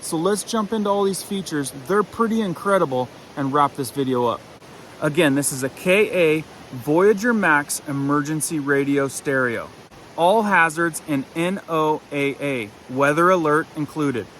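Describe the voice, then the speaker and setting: A man's voice: on the high side, moderate pace, fairly bright tone, a little hiss or hum. A man giving a casual, enthusiastic product review, conversational as he runs through features.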